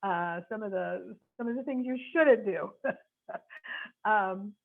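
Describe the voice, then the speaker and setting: A woman's voice: medium pitch, takes it slowly, gravelly. A woman in her sixties from the American Midwest, an attorney giving earnest, frank advice while searching for her words. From North Chicago, USA. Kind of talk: reflective advice talk. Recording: tinny phone-like line.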